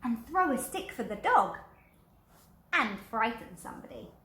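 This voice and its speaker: A woman's voice: on the high side, big pitch swings, measured pace, bright, forceful tone, full character voice. A woman voicing a cheerful, mischievous, childlike little skeleton in a children's picture book. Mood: playful. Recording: roomy, echoing indoor sound.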